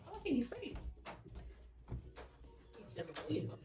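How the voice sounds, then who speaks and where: A woman's voice: high voice, huge pitch swings, normal speed. A woman chatting casually at home with a partner, sometimes reading instructions aloud as she goes. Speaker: parent vlogger setting up a children's gadget. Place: indoors at home. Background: music.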